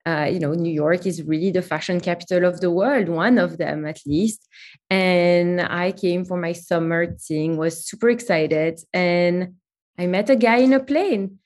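A woman's voice: on the low side, a little slow. Chatty woman guest. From Belgium. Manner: chatty, animated storytelling with fillers. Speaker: guest, company CEO. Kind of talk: remote interview chat.

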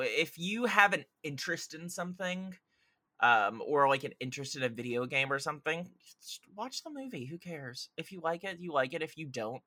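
Bright, sharp-voiced man, opinionated podcast guest. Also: medium pitch, no room sound. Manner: opinionated, emphatic. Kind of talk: casual podcast discussion.

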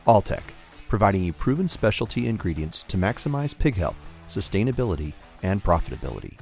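A man's voice: low-pitched, big pitch swings, brisk, vocal fry, a little mellow. A man reading a scripted podcast introduction and sponsor messages as an announcer. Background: guitar music.

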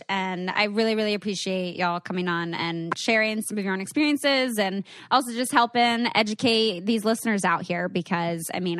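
A woman's voice: medium pitch, fairly bright tone, no room sound, studio quality. A woman hosting a podcast in a casual, conversational way, effusive and appreciative of the people she talks with. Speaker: podcast host.

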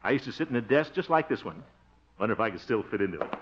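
A man's voice: fairly low pitch, sing-song, racing, slightly rough. A jovial, fussy middle-aged American man, swings widely up and down as he chuckles and blusters. He is an overeager, bumbling guardian in a 1950s radio comedy. The sound is muffled, like an old broadcast. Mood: playful.

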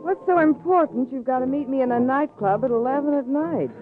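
An actress playing a cool, protective young fiancée in 1950s radio crime drama, brisk, direct and no-nonsense. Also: female voice, high-pitched, natural voice. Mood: guarded. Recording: muffled vintage radio recording, faint music.

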